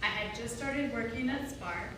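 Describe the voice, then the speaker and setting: A woman's voice: on the high side, moderate pace. A woman meetup organizer in chatty, casual banter. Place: large meetup venue room. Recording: echoey room, heard from far off, noisy.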